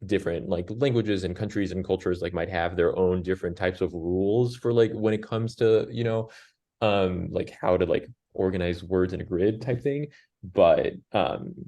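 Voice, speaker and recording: Brazilian-born man, Portuguese native, low warm voice; loose, rambling interview answers full of restarts. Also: normal speed. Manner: rambling, heavy use of "like", frequent restarts. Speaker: crossword constructor, guest.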